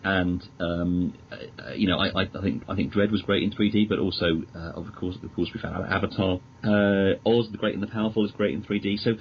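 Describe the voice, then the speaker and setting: Deep-voiced British man, hesitant and polite. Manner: hesitant, stammering restarts, polite and measured. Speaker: podcast guest, magazine editor. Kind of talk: casual interview chat about films. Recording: dull lo-fi audio with clear noise.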